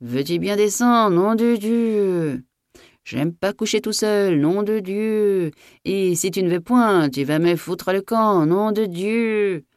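Woman voicing a gruff, hot-tempered old farm master. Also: lowish voice, measured pace, darkish tone, no room sound, clean studio sound, lightly acted. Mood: bellowing. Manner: gruff rustic speech, blunt and bossy. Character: old, jovial, hot-tempered male farm master who bellows orders.

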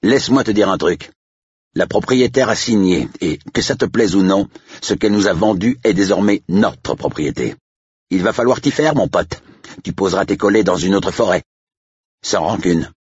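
A man's voice: sits low, animated, fairly quick, slightly rough, no room sound, highs cut off, voice pushed up, full character voice. A man voicing a cocky older estate agent in an audiobook story, scornful and taunting. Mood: mocking. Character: older male estate agent, sneering, mocking, cocky swindler.

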